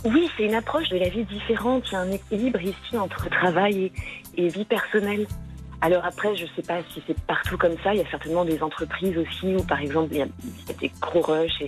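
A woman with a Parisian French accent, a guest in a radio interview, talking in a relaxed way and laughing easily. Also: on the low side. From France.

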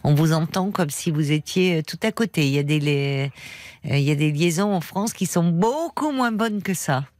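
A woman hosting a late-night radio call-in show, prompting her caller with short yeses and gentle questions. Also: sits low, animated, moderate pace, no room sound. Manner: warm, attentive, encouraging with short yeses. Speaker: radio host. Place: radio studio.

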